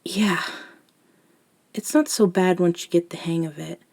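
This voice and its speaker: A woman voicing a gentle elder vampire in an ASMR roleplay, speaking softly and breathily, often near a whisper, soothing and reassuring. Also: lowish voice, steady tone, vocal fry. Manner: slow, soothing, reassuring, with long pauses. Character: gentle elder female vampire mentoring a nervous young one. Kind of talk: soft-spoken ASMR roleplay monologue.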